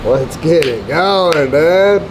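A man's voice: on the high side, expressive, slow pace, noisy background. A man trading jokes and profane trash talk with his crew in a garage. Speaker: shop crew member. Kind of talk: casual shop banter.

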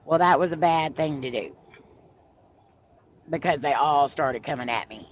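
A woman hosting a live stream over a video call, telling stories in a chatty, animated way and laughing as she goes. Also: low-pitched, a little slow. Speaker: host of a paranormal live stream. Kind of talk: casual storytelling chat. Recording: slightly muffled video-call audio.

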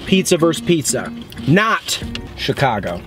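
A man in his thirties from the Detroit area, chatting excitedly about food in a parked car, joking and repeating himself. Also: fairly high pitch, a little slow, music in the background. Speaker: food vlogger host. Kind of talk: casual food review chat. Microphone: dashboard camera.

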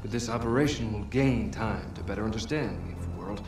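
Actor as stern older general, echoey room. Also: male voice, lowish voice, a little fast, natural voice. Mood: stern, official. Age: 60s. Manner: formal, authoritative. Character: stern, commanding older army general giving a briefing. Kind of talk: dramatic video game acting. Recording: echoey room, music underneath.

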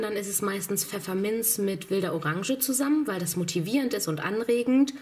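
A woman's voice: mid-range voice, darkish tone. A chatty woman in her thirties, a little under the weather, talks straight to her viewers. She walks through her home with a handheld phone camera, keeping an easy, enthusiastic tone. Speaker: vlogger. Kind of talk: casual vlog walkthrough. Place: home, indoors.

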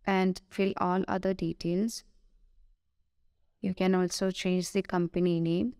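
A woman with an Indian English accent giving a screen-recorded software tutorial, hesitant, with many "uh" fillers and self-corrections. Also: lowish voice, flat delivery, a little slow, smooth, no room sound, studio quality.

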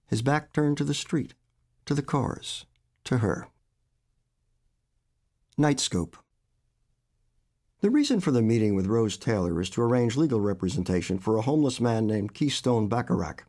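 A man in his seventies, an author reading his own short story aloud in a measured, expressive way. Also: mid-range voice. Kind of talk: literary fiction reading.

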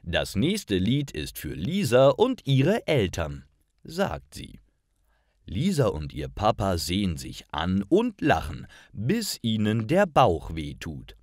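A man narrating a scripted story for language learners in careful, clearly separated phrases. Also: fairly low pitch, wildly swooping pitch, takes it slowly, no echo, studio quality. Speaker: narrator of stories for German learners.

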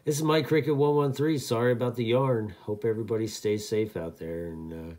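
A man casually demonstrating as a radio hobbyist, talking in a halting, rambling, easygoing way. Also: mid-range voice, fairly even intonation, darkish tone. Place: indoors at a radio desk.

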